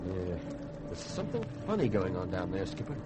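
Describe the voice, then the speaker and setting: Clear hiss from an old radio recording surrounds an easygoing male ship's officer. His voice is lowish, his delivery casual and wry in 1950s drama style. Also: sing-song, brisk.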